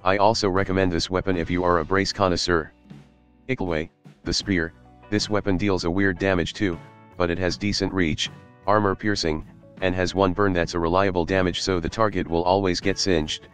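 Male reviewer, very low voice, relaxed scripted delivery with the odd curse, faint music bed. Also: normal speed. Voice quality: casual, swears for emphasis. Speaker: YouTube game reviewer. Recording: light guitar music behind.

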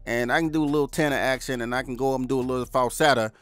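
A middle-aged man with a deep baritone voice, chatting casually to viewers while reviewing studio gear from his home studio. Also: steady tone, rapid-fire, a bit bright, clear, resonant tone, soft background music. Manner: laid-back, chatty, addresses viewers directly. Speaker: music producer reviewing gear. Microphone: large studio condenser mic on boom, headphones on.

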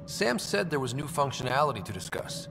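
An actor voicing a steady young male explorer leader in a video game who gives brief, level replies. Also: medium pitch, brisk, gravelly, big-room sound, over music. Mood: calm. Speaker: Pathfinder, player character.

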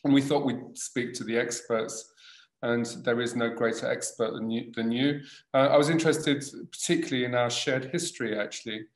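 A middle-aged man hosts a video-call interview from home. His voice has a medium pitch. He halts often and circles back over his words, and his laptop mic picks up a roomy, echoey living room. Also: fairly even intonation, moderate pace. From born in Tunisia, grew up in England. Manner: hesitant, with many restarts and fillers. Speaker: host, designer. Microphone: laptop webcam on a video call.

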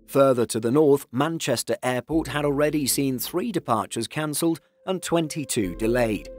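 A man reading a scripted news-explainer narration in a measured, clearly articulated way. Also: on the high side. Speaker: video narrator.

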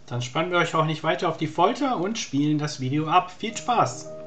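A middle-aged man co-hosts a live stream, talking into a headset from a room at home. His voice sits a little high and sounds bright and forward. His manner is chatty and upbeat, with a faint hiss behind him. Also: expressive, brisk. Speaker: co-host of an online vaulting show. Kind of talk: casual live-stream hosting. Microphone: headset on a video call.

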